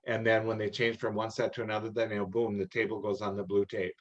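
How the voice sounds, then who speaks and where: Dull-sounding, low-voiced older man explaining rules. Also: flat delivery, quick pace. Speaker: meeting presenter answering questions on theater contest rules. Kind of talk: informal explanation in an online meeting. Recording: dull, lo-fi online call audio.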